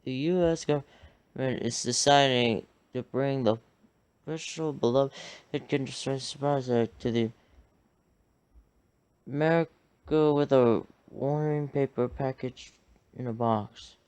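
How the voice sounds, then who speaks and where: News anchor, male: mid pitch, very slow, clean resonant read. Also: a little mellow, clean tone. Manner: measured newscaster delivery. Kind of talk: scripted news reading.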